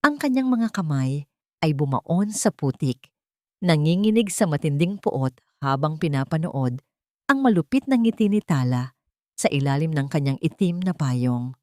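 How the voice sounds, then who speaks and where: A woman's voice: deep voice, lively intonation, moderate pace, a little mellow, dry recording, clean studio sound. A woman narrating a scripted dramatic story in a melodramatic, emphatic manner.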